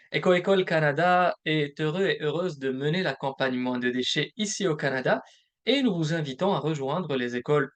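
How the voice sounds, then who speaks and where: A man presenting a recorded webinar as a program manager, explaining points one by one in a measured way. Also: a little high. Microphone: recorded presentation voice-over.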